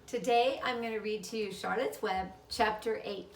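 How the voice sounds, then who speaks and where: A woman narrating a children's storybook aloud with expressive delivery. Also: mid-range voice, moderate pace, slight background noise. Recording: echoey room, distant mic.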